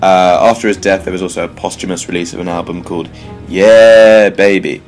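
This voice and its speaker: A man's voice: sits low, steady tone, a little fast, darkish tone. A British man hosting a solo music commentary show, talking in a wry, digressive way with jokey asides. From United Kingdom. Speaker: podcast host.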